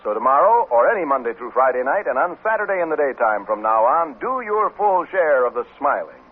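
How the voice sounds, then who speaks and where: A 1950s male radio announcer delivering a scripted promo with polished salesmanship. His pitch moves widely and the voice is slightly husky. The recording is narrow and muffled like an old broadcast. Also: big pitch swings, fairly quick.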